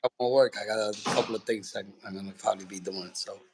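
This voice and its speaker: Gravelly-voiced boxing trainer from Puerto Rico. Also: male voice, on the low side, quick pace, noisy background. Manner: relaxed, rambling storytelling with many fillers. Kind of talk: casual interview.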